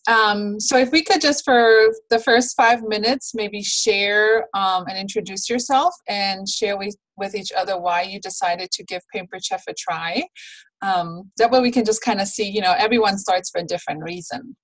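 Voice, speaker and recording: A woman's voice: mid-range voice, normal speed, fairly bright tone, low-quality recording. A woman leading a training call for new consultants, friendly and instructive, with frequent "um"s, on a video call. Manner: friendly, instructive, many fillers. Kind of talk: training call with screen share.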